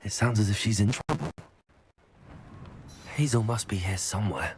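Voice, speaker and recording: An actor playing a polite, helpful young wizard student, the hero of a video game, speaking in an earnest, measured way. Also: male voice, lowish voice, expressive, background noise. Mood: determined. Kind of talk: video game protagonist dialogue. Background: background music.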